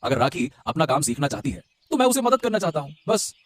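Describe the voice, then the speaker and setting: Man acting high-pitched, earnest young cartoon husband. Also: sing-song, quick pace, slight background noise. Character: earnest young husband pleading with his strict mother. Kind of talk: Hindi moral-story cartoon voice acting. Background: music.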